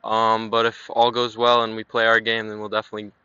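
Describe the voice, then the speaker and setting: A young man, a high school lacrosse player, speaking confidently and upbeat in a post-game interview on a field at night with a handheld mic. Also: completely flat delivery, fast, pushed and bright, smooth, no room sound, lo-fi sound. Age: young adult. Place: outdoor sports field at night.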